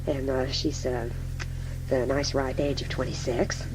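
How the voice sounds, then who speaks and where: A man playing a casual, flirtatious radio station colleague, improvising introductions in a halting, slangy way. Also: mid-range voice, level tone, close to an everyday voice. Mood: halting, admiring. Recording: noisy, humming radio-like recording.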